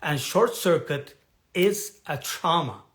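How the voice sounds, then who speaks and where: A man coaching an online audience in personal development, talking in a halting way with frequent restarts as he searches for words. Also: on the high side, expressive, fairly bright tone. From has lived in Turkey for decades. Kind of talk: live talk to an online audience.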